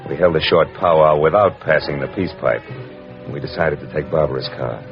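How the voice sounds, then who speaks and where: An American actor playing a tough, wry private detective in hard-boiled 1940s radio style, clipped and world-weary. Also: male voice, very deep voice, fairly quick. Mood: wry, brooding. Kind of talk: 1940s radio acting, hard-boiled first-person narration. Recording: dull vintage radio recording with music.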